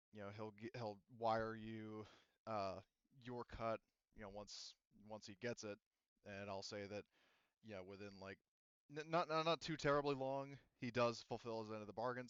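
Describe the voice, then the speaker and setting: A man acting as game master, casually narrating and making rulings in a halting, rambling way that often interrupts itself. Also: on the low side, smooth, no echo, lo-fi sound.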